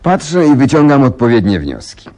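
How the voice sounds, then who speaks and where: An actor playing a coolly rational, amused and condescending gentleman detective in a radio play, lecturing in a teasing, superior way. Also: mid-range voice, huge pitch swings, moderate pace. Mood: didactic, confident. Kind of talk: Polish radio play acting.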